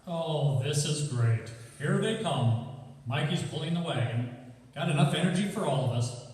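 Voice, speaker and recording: A man's voice: on the high side, expressive, moderate pace, a little mellow, lightly acted. An actor playing an easygoing, wry small-town neighbour man in a stage radio play. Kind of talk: stage radio theatre acting. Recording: echoey hall from far off.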